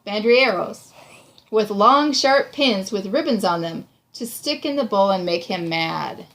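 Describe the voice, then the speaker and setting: A woman's voice: medium pitch, animated, a little slow, a bit bright. A woman reads a storybook aloud and discusses it in an encouraging, explanatory way. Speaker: reading tutor reading aloud to a child. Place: small room indoors.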